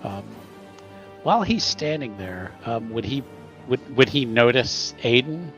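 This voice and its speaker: A man playing a tabletop game, haltingly describing what his character does in casual chat. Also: mid-range voice, animated, a bit bright, dull audio, faint music behind.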